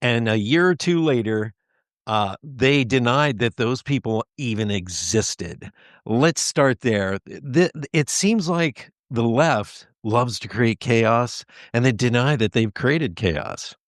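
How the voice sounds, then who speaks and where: A man's voice: medium pitch, expressive, slow pace, dry recording, clean studio sound. An American man from Pennsylvania hosting a casual interview in a chummy, enthusiastic way. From Pennsylvania, United States. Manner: chummy, enthusiastic, laughs easily. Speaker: show host.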